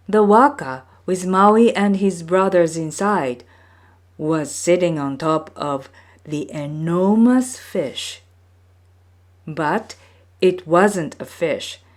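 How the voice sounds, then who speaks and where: Very slow, lower-pitched woman storyteller. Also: a little mellow. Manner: measured, deliberate phrasing. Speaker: storyteller, reader. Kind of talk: scripted narration of a folktale book.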